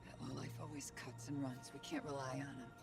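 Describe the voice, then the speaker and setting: An actress playing a jaded, plain-spoken crew operator in a video game, with a blunt, colloquial manner. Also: female voice, a bass voice, level tone, a little fast, loud music behind the voice. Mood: bitter. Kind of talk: video game mission dialogue. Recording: clear background noise and ambience.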